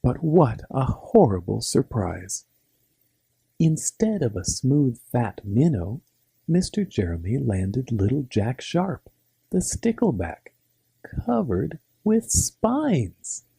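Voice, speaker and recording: A man's voice: medium pitch, big pitch swings, slow pace, creaky, dark tone, breathy edge, no echo. A man narrating a scripted bedtime story for children as its storyteller.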